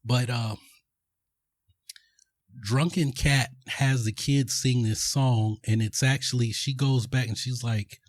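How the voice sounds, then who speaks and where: A man in the middle of a casual podcast recap, rambling through a film plot with plenty of ums and restarts. His voice sits at an ordinary middle pitch. The recording is dry and close, with no room sound. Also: fairly even intonation, slow, no echo. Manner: rambling, filler-heavy storytelling. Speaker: podcast host.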